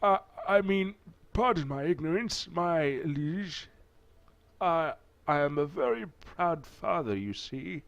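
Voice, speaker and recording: A man's voice: on the high side, expressive, slow pace, vocal fry, fairly bright tone, pitched above the performer's own voice, heavily acted. A man voicing a humble, proud father in a visual novel, speaking hesitantly and with formal deference. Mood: humble, apologetic.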